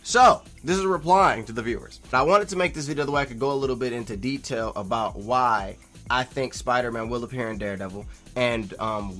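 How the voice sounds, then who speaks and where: Male vlogger, average pitch. Casual commentary, halting and rambling but emphatic, light music underneath. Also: fairly bright tone. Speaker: YouTube vlogger. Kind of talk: casual opinionated commentary, replying to viewer comments.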